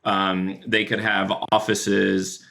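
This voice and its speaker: A man explaining a business software product as a podcast guest, talking in a relaxed way with many fillers. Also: on the low side, slow pace, creaky. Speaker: guest, chief product officer.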